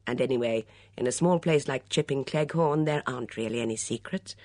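Woman as a brisk, composed, husky lady of the house. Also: very deep voice, darkish tone, no room sound, studio quality. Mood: controlled, reassuring.

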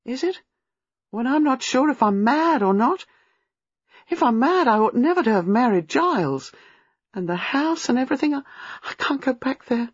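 A woman reading a storybook, playing a distraught young married woman who is frightened, halting and agitated. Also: medium pitch, sing-song, slightly rough, dry recording, lo-fi sound, voice pushed up. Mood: bewildered, miserable. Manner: agitated, halting, rushing in bursts.

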